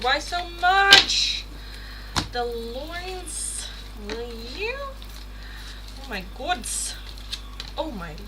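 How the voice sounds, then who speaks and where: A woman from the Netherlands, a native Dutch speaker, chatting casually and expressively as she hosts a live tarot stream. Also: on the high side. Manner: chatty, expressive, swears playfully. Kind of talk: casual live chat with viewers. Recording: clear background noise.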